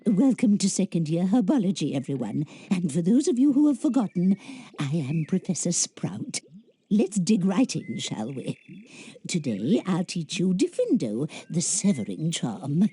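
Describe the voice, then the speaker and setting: A bustling British witch teacher in a video game lesson. Her voice is very mellow and husky, swinging wildly in pitch as she encourages the class. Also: sing-song, a little slow, full character voice. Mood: encouraging. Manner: schoolteacherly, encouraging.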